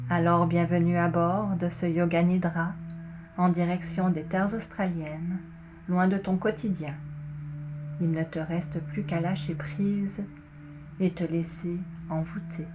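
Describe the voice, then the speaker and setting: A woman guiding a relaxation session in a soothing, measured way, pausing between short phrases as she narrates. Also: fairly low pitch, flat delivery. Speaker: yoga nidra guide. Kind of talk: guided relaxation, scripted narration. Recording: clearly noisy, ambient tones behind. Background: meditation music with singing bowl tones.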